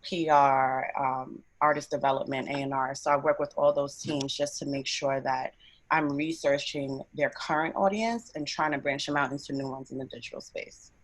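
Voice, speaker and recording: A woman from Brooklyn, a panel guest describing her digital marketing work in a brisk, upbeat way. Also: a bass voice, fairly even intonation, rough recording. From Brooklyn, New York. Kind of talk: online panel discussion.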